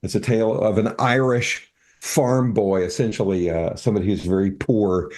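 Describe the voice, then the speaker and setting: An older man co-hosting a casual talk show, speaking haltingly with many fillers and restarts. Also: fairly low pitch, fairly even intonation, a little mellow. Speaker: podcast co-host. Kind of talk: casual chat.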